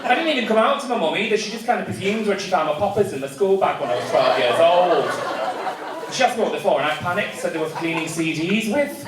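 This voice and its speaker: Cheeky camp Mancunian comic, lively pitch. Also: male voice, fast. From Manchester, England. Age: 30s. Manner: camp, cheeky, teasing the crowd. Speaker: stand-up comedian. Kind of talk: stand-up comedy set. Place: comedy club stage. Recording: echoey club room, distant, loud audience noise.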